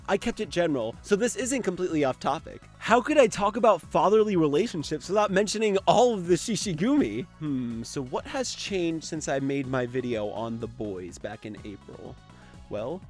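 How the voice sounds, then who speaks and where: A young man giving enthusiastic video-essay commentary as a fan, casual and gushing, with some swearing. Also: a little high.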